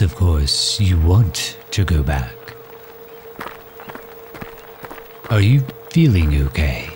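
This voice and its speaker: A deep-voiced villain, acted by a man, speaks slowly over prominent music in an intimate ASMR roleplay. He is courtly and protective, fussing over the injured hero with gentle formality. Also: full character voice. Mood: tender, fussing. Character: doting, overprotective male villain caring for injured hero. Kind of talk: ASMR roleplay audio drama.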